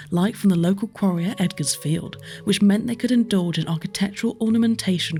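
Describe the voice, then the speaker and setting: A woman's voice: mid-range voice, darkish tone. A woman with a British accent, giving scripted narration as a history tour presenter. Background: background music.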